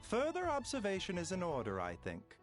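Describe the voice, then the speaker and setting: A gentle game doctor. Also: male voice, high voice, wildly swooping pitch, brisk. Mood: courteous. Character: gentle, composed male pharmacist doctor. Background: game music.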